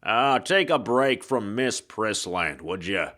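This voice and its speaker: A hard-boiled dead private eye , played by a male reader, speaks in a low, slangy drawl. He is self-assured and sardonic, needling his listener in 1940s detective style, with big swings in his delivery for a mystery audiobook. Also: animated, takes it slowly, bright, forceful tone, full character voice. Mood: sardonic, blunt.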